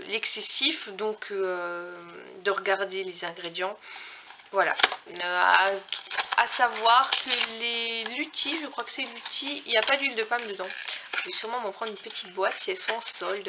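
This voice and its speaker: A woman's voice: medium pitch, very noisy. A young woman from Alsace chatting casually to camera, reviewing food products with lots of fillers and self-corrections. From Alsace, France. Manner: reads out ingredient lists. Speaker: vlogger reviewing finished products.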